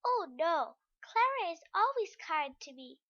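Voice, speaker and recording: A shy little girl, pleading in a tearful, earnest way. The voice is very high and bright, and the sound is a little dull. An audiobook character voice. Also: big pitch swings, unhurried, exaggerated voice.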